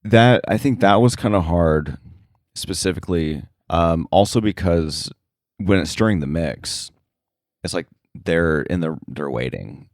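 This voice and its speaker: Man, deep voice, dry close sound, casual interview talk, halting delivery. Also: expressive, darkish tone, no echo. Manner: halting, thinking aloud, frequent filler likes. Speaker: sound editor, interview guest. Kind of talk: casual interview, recounting work.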